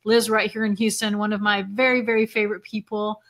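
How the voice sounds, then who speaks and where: A woman's voice: mid-range voice, level tone, a little fast, fairly bright tone. A woman host on a live video chat, chatty and full of fillers, who is losing her voice. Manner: chatty, laughs often, many fillers. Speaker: event host interviewing a speaker.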